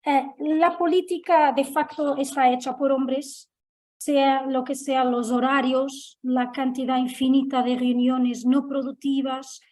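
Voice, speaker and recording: A woman from Portugal speaking with a Portuguese accent, giving a halting panel talk full of fillers and restarts. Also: high-pitched, a little mellow. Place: Lisbon.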